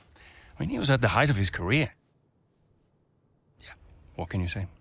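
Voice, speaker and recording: An actor playing a racing team boss who talks in a persuasive, reassuring way. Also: male voice, on the low side, sing-song, normal speed, vocal fry, fairly bright tone, breathy edge, no room sound, full character voice.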